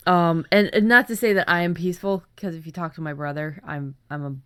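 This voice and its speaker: A woman's voice: lowish voice, brisk, fairly bright tone, smooth. A woman in her thirties co-hosting a casual writing chat, sharing story ideas in a playful, joking way. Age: 30s. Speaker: writer and co-host.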